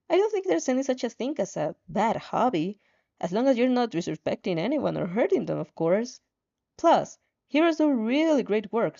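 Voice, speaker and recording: A woman's voice: fairly high pitch, dark tone, highs cut off. A woman voicing a friendly, encouraging young heroine who chats in a kind, reassuring way in a fanfiction audio drama.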